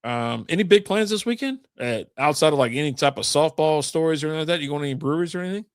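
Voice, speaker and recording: A man co-hosting a podcast in casual chat, easygoing and quick to chuckle, with casual swearing. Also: fairly high pitch, animated, dry recording, clean studio sound. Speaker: podcast co-host.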